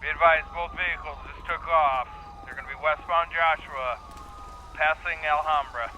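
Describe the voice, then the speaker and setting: Guy doing cop radio calls, really sharp bright voice, faint noise n clicks. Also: fairly high pitch, steady tone, normal speed. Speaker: roleplay player acting as police radio operator. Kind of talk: police radio traffic in codes. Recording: noisy radio-style voice chat with clicks.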